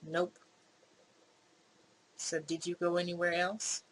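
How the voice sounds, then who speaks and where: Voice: mid-range voice, completely flat delivery, slow pace, a bit bright, low-quality recording. A gamer voicing a suspicious young woman in a visual novel who asks pointed, testing questions. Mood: probing. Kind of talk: visual novel character reading. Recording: clear background noise.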